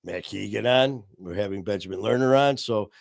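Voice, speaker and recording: A man's voice: on the low side, normal speed, gravelly, no room sound, studio quality. A man hosting his live online show, chatting casually and gratefully, rambling with lots of fillers. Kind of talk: casual live-stream chat.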